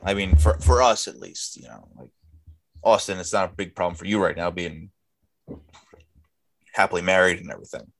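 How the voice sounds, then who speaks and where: A man's voice: low-pitched, moderate pace, long pauses, slight background noise, poor audio. A young man co-hosting a casual podcast chat, talking bluntly and grumbling, with frequent swearing. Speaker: podcast co-host.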